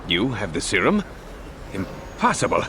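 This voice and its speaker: An actor playing an earnest, kindly medic and shopkeeper in a video game. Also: male voice, fairly low pitch, huge pitch swings, unhurried, noisy background. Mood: amazed, eager.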